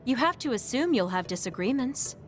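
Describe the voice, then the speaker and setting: Anime game role: reassuring female teammate, gentle and encouraging, fairly high voice, faint music. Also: sing-song, brisk. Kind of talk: English anime game dub acting.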